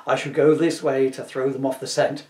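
A man's voice: medium pitch, flat delivery, normal speed, darkish tone, echoey room, distant mic, lightly acted. A man voicing an earnest teenage boy superhero in a comic storybook reading, questioning and declamatory. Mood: heroic.

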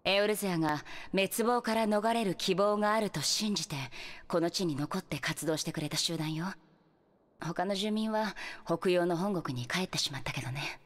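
A woman voicing a calm young leader of an adventurers' order in a Japanese video game, measured and explanatory. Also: fairly low pitch, lightly acted.

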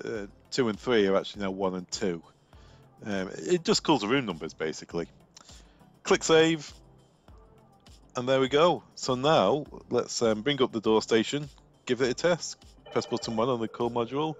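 A man's voice: on the low side, highs cut off. A man working as a technical trainer, narrating a screen demo in an informal, step-by-step way.

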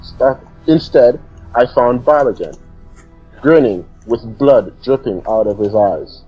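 A man's voice: mid-range voice, slow pace, husky, lo-fi sound, faint music behind. A man reading a horror story aloud, stumbling and restarting words. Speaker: reader of a creepypasta. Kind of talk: comedic dramatic reading.

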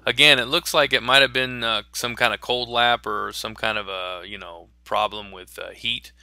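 A man who teaches welding narrates a voice-over of his own welding footage. He explains in a plain, practical way and often pauses with "uh". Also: mid-range voice, fairly even intonation, creaky, bright, forceful tone, smooth, dry recording. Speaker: welding instructor.

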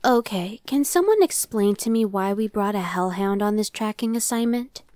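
A woman's voice: mid-range voice, expressive, normal speed, mellow, no echo, natural voice. A woman voicing an impatient, sardonic female demon scout in an ASMR roleplay, talking in a conversational, dismissive way. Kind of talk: ASMR roleplay, one-sided dialogue.

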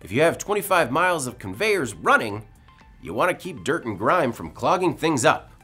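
Guy reading scripted news, really varied pitch, emphatic with dry asides, bit of music under him. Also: very animated, moderate pace, bright, forceful tone, breathy edge. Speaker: video news presenter, editorial director. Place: indoor studio.

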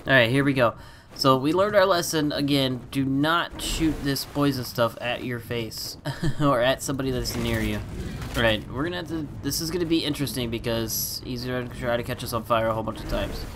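A man hosting a gaming channel, chatting excitedly over his gameplay and laughing often, with a stuffy nose. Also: medium pitch, fairly quick, background noise. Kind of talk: casual gameplay commentary.

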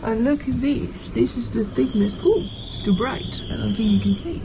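Young woman, casual boat-repair vlogger, slightly high voice, chatty and quick to laugh, camera held at arm's length, clear workshop noise behind. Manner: chatty, laughs easily, explains as she shows. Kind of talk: casual vlog chat. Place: inside a boat cabin under renovation. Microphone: handheld wide-angle camera at arm's length. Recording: noisy boat workshop ambience.

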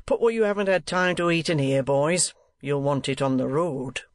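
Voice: mid-range voice, normal speed, no echo, studio quality, natural voice. A performer voicing a vulgar schoolmaster from a Victorian novel, with coarse, uneducated speech and oily jocularity. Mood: brisk.

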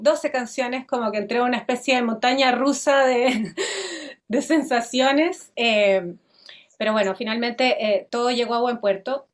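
A Chilean woman in her thirties speaks in a fairly high voice, explaining things informally over a video call. She searches for words as she goes, often repeating a small word before finishing the thought. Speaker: composer and company collaborator on a panel. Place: indoor room at home, on a video call.

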